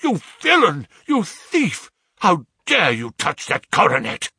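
A man's voice: fairly low pitch, very animated, normal speed, fairly bright tone, husky, no echo, clean studio sound, heavily acted. A man voicing an anguished middle-aged father who tells his tale in formal, melodramatic Victorian phrases, in an audiobook story. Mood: furious, horrified.

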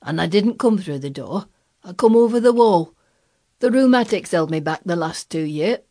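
A woman plays a crabbed old Yorkshire gardener in a storybook reading. He is dry, gruff and shrewd, with a grumpy tenderness under his broad country talk. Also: on the low side, expressive, moderate pace, warm tone. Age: older.

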